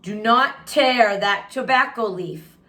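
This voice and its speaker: A woman's voice: a little high, slow, sharp tone. A woman reading the part of a harsh male factory boss barking stern, emphatic orders in a children's storybook. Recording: roomy, echoing room sound.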